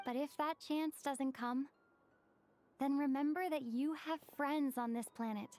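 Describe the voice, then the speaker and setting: Game role: earnest young traveler. High-pitched, sincere farewell style over soft music. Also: female voice, fairly even intonation, a little slow. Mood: heartfelt. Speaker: game character voice actor. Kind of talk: scripted emotional game dialogue. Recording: music behind the voice.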